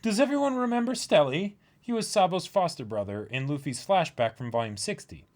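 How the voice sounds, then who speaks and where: A man's voice: on the high side, lively intonation, fairly quick, darkish tone. A man co-hosting a manga reading stream, giving casual commentary and some reading aloud.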